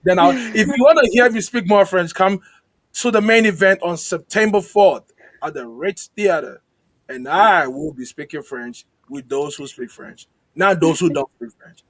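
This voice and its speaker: A male host with a slightly raspy voice leads a relaxed interview. He sounds chatty and upbeat and pokes fun at himself. He pitches his voice a touch higher than most men. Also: normal speed, rough recording. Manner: chatty, upbeat, self-deprecating. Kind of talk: casual video-call interview.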